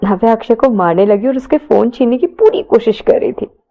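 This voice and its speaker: A woman with a fairly high voice narrates a romance as a storyteller. Her delivery is dramatised, and the recording sounds slightly muffled. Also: brisk, dark tone.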